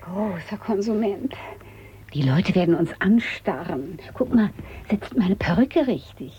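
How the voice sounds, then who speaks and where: A woman's voice: medium pitch, expressive, a little slow, dull audio, a little hiss or hum, close to an everyday voice. An actress playing an anxious, fussy, spoiled woman of the future in a German radio drama, complaining and exclaiming.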